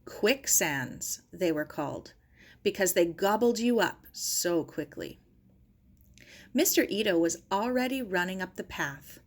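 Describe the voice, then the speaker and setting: A woman's voice: fairly low pitch, lively intonation, a little slow. A woman reading a children's novel aloud as scripted narration. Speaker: audiobook reader.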